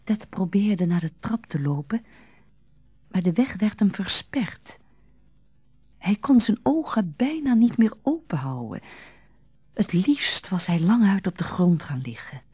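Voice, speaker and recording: A woman narrating a radio drama in a measured, literary storytelling manner. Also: mid-range voice, very animated, husky, dry recording, muffled recording, faint music behind.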